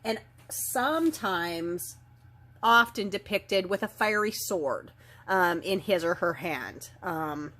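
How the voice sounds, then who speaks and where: Hoarse woman with a cold, slightly gravelly; gentle, rambling oracle reader. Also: lowish voice, lively intonation, takes it slowly. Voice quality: slightly gravelly, raw throat. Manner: soft spiritual talk with many ums and trailing phrases. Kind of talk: daily oracle card reading, chatty and unhurried. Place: indoor filming setup lit by ring lights.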